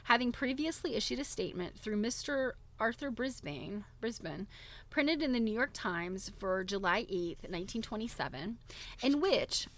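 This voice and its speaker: A woman hosting a live book reading, reading aloud with expressive, giggly, chatty asides. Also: medium pitch. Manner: giggly, reacts expressively to what is read.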